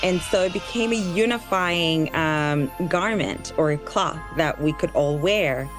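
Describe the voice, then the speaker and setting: A woman's voice: deep voice, a little slow. A woman from Tanzania whose first language is Kiswahili, a guest explaining things on a kids' podcast. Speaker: guest educator and author. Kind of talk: podcast interview. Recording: music bed underneath.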